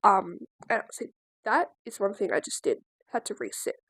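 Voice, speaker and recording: A woman's voice: mid-range voice, sing-song, normal speed, a bit bright, slightly rough, no echo. An eighteen-year-old Australian woman chatting casually in a home vlog, rambling with lots of likes and ums. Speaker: crochet vlogger. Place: at home, seated in a chair.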